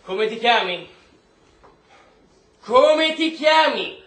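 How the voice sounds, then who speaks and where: High, strained aggressive male interrogator played by an actor. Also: animated, extremely slow, a bit bright, full character voice. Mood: menacing. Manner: bullying, hammering repeated questions. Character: aggressive, foul-mouthed military interrogator bullying a prisoner. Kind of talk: stage drama acting. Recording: roomy, distant, noisy stage recording.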